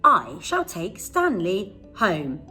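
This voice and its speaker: A woman's voice: medium pitch, wildly swooping pitch, slow pace, pushed and bright, soft background music, pitched below the performer's own voice, heavily acted. A woman voicing a defiant little girl in a children's story, speaking in emphatic, indignant phrases. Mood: resolute.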